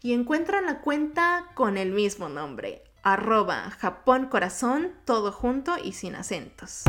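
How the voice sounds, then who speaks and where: A woman's voice: mid-range voice, fairly bright tone. A woman with a Mexico City accent, a native Japanese speaker, hosting a solo podcast in a chatty, informal, slightly sing-song way. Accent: Mexico City Spanish. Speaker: podcast host.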